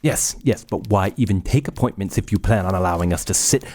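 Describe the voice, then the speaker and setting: An actor playing an intense, impatient, obsessive young medical scientist in a horror audio drama, with formal, arrogant diction. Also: male voice, sits low, fairly quick, darkish tone. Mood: impatient, indignant.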